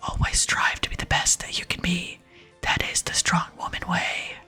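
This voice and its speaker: A woman's voice: normal speed, bright, forceful tone, faint music behind, lightly acted. A woman whispering the game dialogue of a tough, teasing, motivational barbarian trainer in ASMR style. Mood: motivating. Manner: blunt, coaching, whispered.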